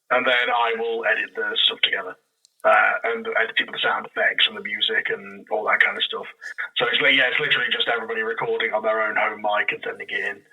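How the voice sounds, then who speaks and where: A man speaking casually as a podcast guest, explaining things in an animated, rambling way. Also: mid-range voice, husky, rough recording. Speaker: podcast guest, audio drama creator. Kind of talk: casual interview chat.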